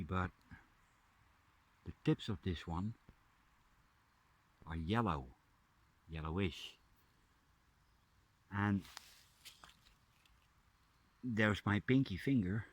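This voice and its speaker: Dutch man, low-pitched, unhurried, soft outdoor vlog narration. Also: slightly rough. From Netherlands. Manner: soft-spoken, drops to a hushed near-whisper up close. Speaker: nature vlogger. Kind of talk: casual narration while foraging. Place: forest, outdoors.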